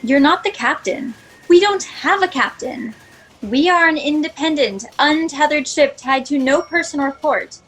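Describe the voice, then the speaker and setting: Woman playing brash, high-voiced spaceship being. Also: expressive, a little slow, fairly bright tone. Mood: defiant. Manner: blunt, contrary. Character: brash, mischievous shape-shifting spaceship crewmate, blunt. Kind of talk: sci-fi satire audio drama acting. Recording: noisy home video-call audio.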